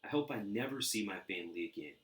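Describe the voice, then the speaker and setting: A man's voice: on the low side, animated, quick pace, roomy sound, natural voice. A man voicing a mischievous, defiant young boy in a storybook. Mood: sulky.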